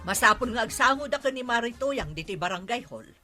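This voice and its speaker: A woman's voice: on the high side, expressive, fairly quick, close to an everyday voice. A woman playing a businesslike village hall worker handling complaints, with an Ilocano accent, in a radio comedy-drama. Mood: concerned. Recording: music playing behind the voice.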